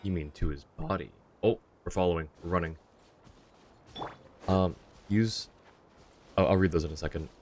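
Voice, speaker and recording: A man's voice: low voice, moderate pace, long pauses, a little mellow. A young man streaming a game live, commenting casually and chatting with his viewers.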